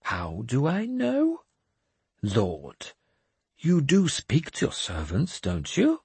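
A lofty, crafty madman of a bishop, his voice pitched high and stretched out in a slow, sing-song sermon cadence. He sounds slightly husky, and the sound is dry and close, as in an audiobook. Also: huge pitch swings, darkish tone, dry recording, full character voice. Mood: scornful. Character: mad, sly, lofty self-proclaimed male bishop.

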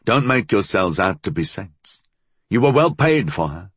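A man playing a calm, menacing man in a story, speaking in a gravelly voice with terse, cold insistence. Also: on the low side, measured pace, no echo, voice pushed up, heavily acted. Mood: accusing.

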